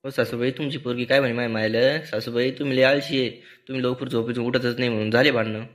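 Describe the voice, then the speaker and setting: A man's voice: medium pitch, steady tone, fast, a bit bright, natural voice. A man playing a grumpy, sarcastic son-in-law in a comedy cartoon, speaking with a rural Maharashtrian accent. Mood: complaining. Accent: rural Maharashtrian Marathi. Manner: complaining, mocking. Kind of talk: comedy cartoon dialogue in colloquial Marathi.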